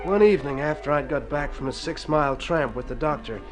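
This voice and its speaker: A man's voice: fairly high pitch, a little fast, natural voice. An actor playing an earnest, plaintive convalescing city man in a radio drama, theatrical and wordy. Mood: wistful storytelling. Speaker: storyteller and lead character in a radio play. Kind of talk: Golden Age radio drama acting. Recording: old radio recording with music.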